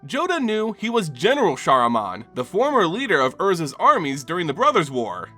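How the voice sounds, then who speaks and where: A man with a fairly high, lively voice narrates fantasy lore in a dramatic, scripted style. His pitch moves around a lot as he tells it like an expressive storyteller. Soft music plays behind him. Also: brisk. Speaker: host of a fantasy game lore channel.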